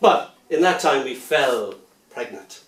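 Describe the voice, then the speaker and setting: A man reading his poetry aloud, with a rhythmic, playful delivery that softens into gentle lullaby lines. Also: medium pitch, lively intonation, slow. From Ireland. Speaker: poet. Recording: roomy sound from a distant mic.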